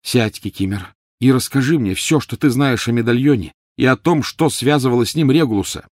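A man voicing an urgent, commanding teenage boy hero in a storybook reading, with a forceful, demanding manner. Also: mid-range voice, brisk, no echo, studio quality, voice pushed up, lightly acted. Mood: insistent.

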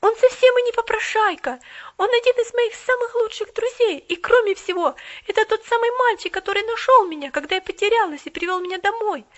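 A woman reading aloud and voicing Pollyanna, an eager, excitable little girl who pleads breathlessly. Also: extremely high pitch, normal speed, pitched above the performer's own voice. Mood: indignant. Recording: dull lo-fi recording.

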